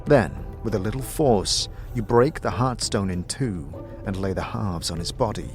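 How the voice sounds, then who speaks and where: A man's voice: lowish voice, normal speed, a little mellow. A man giving dramatic, scripted second-person narration for an audio drama as narrator and game master. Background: music.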